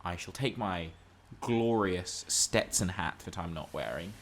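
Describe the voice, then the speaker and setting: Low-pitched male podcast host, opinionated. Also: a little slow, a little hiss or hum. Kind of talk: casual pop culture discussion.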